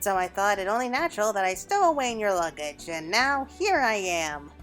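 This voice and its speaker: A woman's voice: mid-range voice, big pitch swings, a little fast, fairly bright tone, faint music behind. Woman voicing a florid little pudding-mad rabbit creature. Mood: eager, chatty. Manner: florid, exclamatory wording. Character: small pudding-obsessed rabbit creature, eager and theatrical.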